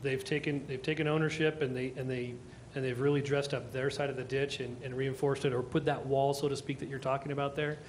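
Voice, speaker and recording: A man's voice: mid-range voice, little pitch movement, a little fast, slight background noise. A man, a city official, explaining stormwater issues at a public meeting in a reassuring way. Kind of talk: answering questions at a public meeting.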